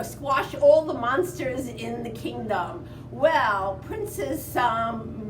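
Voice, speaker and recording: A woman, a children's librarian, giving an informal book recommendation in a halting way, pausing mid-word. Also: a little high. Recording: roomy, distant mic, music behind.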